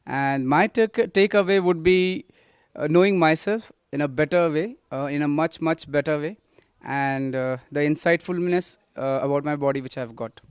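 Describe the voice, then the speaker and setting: A man from India giving a short, halting testimonial as a yoga course student. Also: fairly high pitch, clear, resonant tone, dry recording, clean studio sound. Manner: halting, with many fillers.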